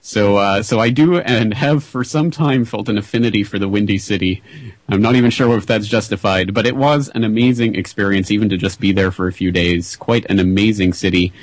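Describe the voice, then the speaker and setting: A man hosting a radio interview, talking in a measured, wry way with frequent "uh" restarts. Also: on the low side, no room sound, low-quality recording. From Canada. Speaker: radio show host.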